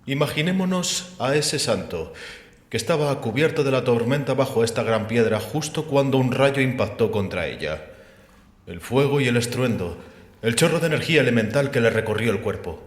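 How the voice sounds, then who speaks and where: A man acting in a Spanish audio drama as a calm, sceptical, erudite figure, explaining in a measured, gently mocking way with rhetorical questions. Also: medium pitch, close to an everyday voice. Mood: reasoning, persuasive. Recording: echoey hall with long reverb.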